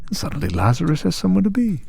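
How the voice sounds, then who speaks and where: A man's voice: fairly high pitch, huge pitch swings, rapid-fire, vocal fry, darkish tone, breathy edge, exaggerated voice. A man playing an urbane, taunting vampire villain in an audio drama, speaking in a mocking, leisurely, theatrical way. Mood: amused, needling. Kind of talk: scripted audio roleplay drama.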